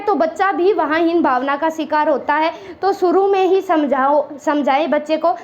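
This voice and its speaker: A woman's voice: sits high, highs cut off, slight background noise. A woman teacher giving an exam-prep lecture, mixing English words into Hindi and checking in with "ठीक है" again and again. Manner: explains in Hindi mixed with English words, repeats "ठीक है" often.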